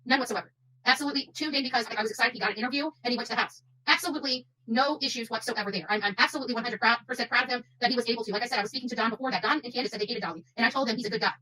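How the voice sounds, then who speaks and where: A woman's voice: a little high, rapid-fire, pushed and bright, rough recording. A woman doing unscripted livestream commentary, speaking emphatically and repeating phrases for stress.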